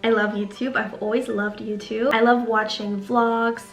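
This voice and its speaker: A woman's voice: fairly high pitch, level tone, moderate pace. Chatty young woman, German native. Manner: chatty, fillers like "like". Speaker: YouTuber. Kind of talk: casual vlog talk to camera. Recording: roomy sound with faint music.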